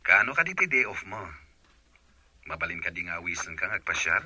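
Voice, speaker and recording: An actor playing a smooth-talking married womanizer in a radio drama, casual and coaxing. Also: low-pitched, sing-song, brisk, bright, forceful tone, dry recording, exaggerated voice. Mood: friendly, coaxing. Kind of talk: radio drama acting in Ilocano.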